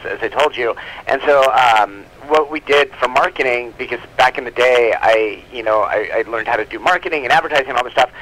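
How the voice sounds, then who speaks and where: A man with a forward, very bright voice takes part in a casual phone interview as the guest. He talks informally and loosely, wandering into asides as he goes. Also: mid-range voice. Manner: informal, rambling, peppered with asides. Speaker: interview guest, comic book publisher. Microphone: telephone. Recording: telephone call.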